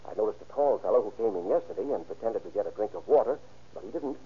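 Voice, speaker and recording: A man's voice: lowish voice, animated, quick pace, slightly rough. A man playing an earnest, worried plant manager in 1930s radio drama, fussing and hesitant. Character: worried, eager male ice plant manager. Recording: muffled 1930s radio recording.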